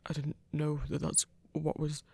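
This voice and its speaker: A performer playing an awkward, self-doubting werewolf hunter in an ASMR romance roleplay, stammering hesitantly in a husky, gravelly voice. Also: medium pitch, monotone, unhurried, darkish tone, no echo. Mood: flustered, shy.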